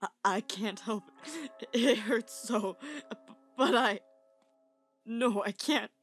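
A woman's voice: a little high, slow pace, husky, faint music behind. A woman voicing an anguished young male ink demon, crying and stammering in a dramatic cartoon comic dub. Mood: tearful, desperate. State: crying, faltering.

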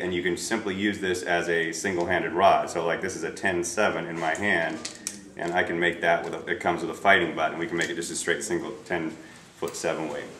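A man's voice: low-pitched, fairly bright tone. An American man presenting products informally in a shop, unscripted, plugging them in short clipped phrases. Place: small retail shop, indoors. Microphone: camera a few feet away. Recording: echoey shop, distant camera mic, faint noise.

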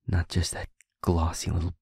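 A man playing a stubborn, hurting young werewolf boyfriend in an intimate audio roleplay, with a husky, breathy delivery that often drops near a whisper and sounds earnest and strained. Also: low-pitched, completely flat delivery, a little mellow, no room sound, studio quality, exaggerated voice. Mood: indignant, determined. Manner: halting, earnest, emotionally strained.

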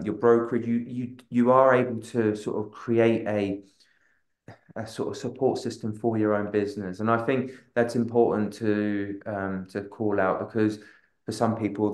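A man in his thirties, a real estate agent on a webinar panel, answering at length with many restarts and filler phrases over a video call. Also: fairly low pitch. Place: room with a large wall map. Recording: roomy video call audio.

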